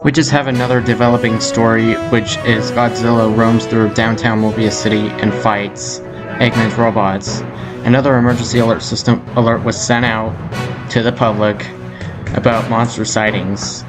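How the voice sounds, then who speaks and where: A man's voice: on the low side, flat delivery, moderate pace. A man reading a scripted breaking news bulletin as an announcer. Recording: music bed behind the voice. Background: news theme music.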